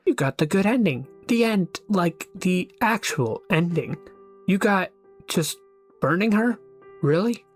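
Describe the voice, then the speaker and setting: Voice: mid-range voice, animated, a little slow, faint music behind, voice pushed up. A performer voicing a prying, insistent onlooker in a role-play drama, full of pointed questions. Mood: nagging.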